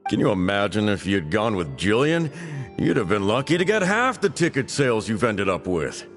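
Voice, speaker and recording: An actor playing a smug, boastful man of the theatre world in a video game, talking with animated self-satisfaction. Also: on the low side, normal speed, in a large hall. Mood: smug, gleeful. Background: music.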